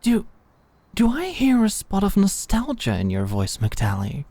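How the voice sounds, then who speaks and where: A woman's voice: medium pitch, wildly swooping pitch, measured pace, dark tone, no room sound, full character voice. Woman doing a smug young british businesswoman for asmr roleplay, adoring and teasing, dry close sound. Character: Alex, cocky, adoring young queer businesswoman celebrating with fiancée. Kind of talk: scripted ASMR audio roleplay.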